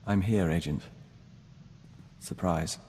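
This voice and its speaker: An actor playing a serene, courteous hive-bonded diplomat in a video game, speaking in a measured, formal way. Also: male voice, low voice, darkish tone, big-room sound, slight background noise. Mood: gentle, sincere. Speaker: companion character in a video game. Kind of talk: scripted game dialogue.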